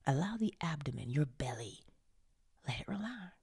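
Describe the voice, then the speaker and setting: Female meditation guide, low-pitched and very breathy, often whispering, soothing and unhurried, with close, intimate delivery. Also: big pitch swings, no echo. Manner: hushed, soothing, gently coaxing with pauses. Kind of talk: slow guided meditation narration, partly whispered.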